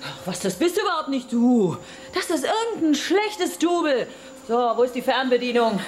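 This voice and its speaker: A woman's voice: high-pitched, sing-song, normal speed. An actress playing a blunt, mocking woman tourist in a German radio drama. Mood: mocking, irritated.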